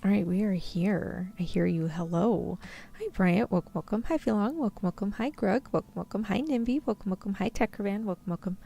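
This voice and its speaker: A woman's voice: medium pitch, fairly quick, vocal fry, dark tone. A woman hosting a livestream, chatting casually and informally in a rambling way with her viewers. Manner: informal, mild swearing, rambling. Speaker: VTuber livestream host.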